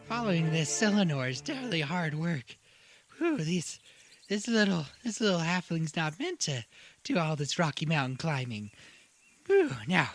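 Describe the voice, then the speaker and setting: A performer voicing an excitable, bumbling halfling who huffs, exclaims and giggles in an audio drama. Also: medium pitch. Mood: winded, muttering. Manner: exclaiming, huffing, giggling, swooping. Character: excitable, bumbling halfling storyteller companion named Solomon. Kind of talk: audio drama acting, muttering to self.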